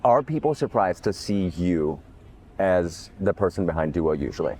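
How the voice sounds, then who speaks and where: Male host, from Pakistan. Low, relaxed conversational voice, faint ambience behind. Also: normal speed, slight background noise. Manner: relaxed, storytelling with acted-out quotes. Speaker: podcast host. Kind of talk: casual podcast interview.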